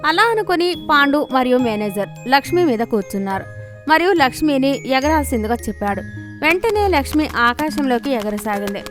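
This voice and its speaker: A woman's voice: sits high, lively intonation, moderate pace, faint music behind. A woman narrating a scripted moral story for children.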